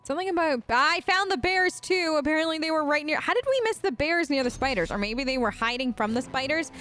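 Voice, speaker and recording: A woman's voice: sits high, lively intonation, fairly quick, a bit bright, soft background music. A woman hosting a let's play, giving casual, chatty role-play commentary and musing in character.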